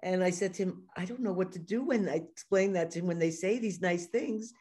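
Podcast host, woman, New York accent, lowish chatty voice. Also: a little fast, dark tone. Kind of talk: casual interview conversation.

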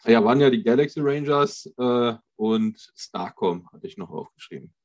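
A man's voice: mid-range voice, normal speed, darkish tone, dull audio. A man chatting casually as a podcast co-host. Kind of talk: casual chat.

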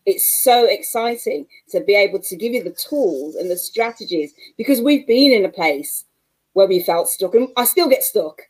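A woman from England with a Mancunian accent, a guest coach on a remote-call podcast interview, warm and encouraging. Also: medium pitch, expressive, normal speed, breathy edge, rough recording. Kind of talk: casual interview chat.

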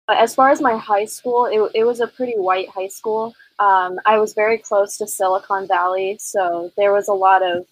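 A woman's voice: medium pitch, steady tone, normal speed, hardly any pauses, no room sound, rough recording. A woman in her twenties from Northern California speaks thoughtfully, with frequent fillers. Her voice is dry and close. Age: 20s. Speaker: podcast guest. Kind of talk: casual conversation.

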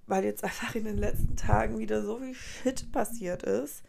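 A woman in her late twenties hosts her own podcast in a soft, rambling monologue. She sounds tired and often corrects herself mid-thought. Her voice has a gravelly, fry-touched edge at a middling pitch. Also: fairly even intonation, normal speed. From Colombia. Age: 20s. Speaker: podcast host. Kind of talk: casual personal monologue.

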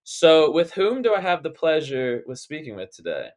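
A man's voice: fairly high pitch, sing-song, normal speed. A young man hosting a casual podcast interview, laid-back and slangy, rambling loosely as he asks questions. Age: young adult. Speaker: podcast host.

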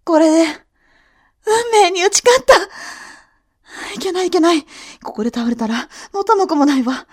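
A woman's voice: very high voice, expressive, moderate pace. A woman playing a proud, shrewd noble elder sister in a voice drama, haughty, theatrical and formal. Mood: strained triumph. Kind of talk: voice drama acting.

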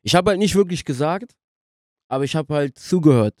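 Young man, halting casual podcast guest. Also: a little high, animated, dry recording, studio quality. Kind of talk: casual interview conversation.

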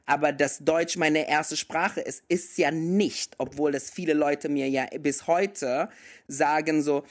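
A man's voice: fairly high pitch, fairly even intonation, takes it slowly. A man in his thirties, a native German speaker, chatting casually and slipping into English phrases. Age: 30s. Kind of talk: casual conversation.

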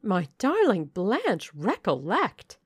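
A woman's voice: mid-range voice, wildly swooping pitch, takes it slowly, breathy edge, no room sound, clean studio sound, pitched above the performer's own voice, full character voice. A woman voicing a fussy, doting older noblewoman who pleads anxiously with her daughter. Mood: pleading.

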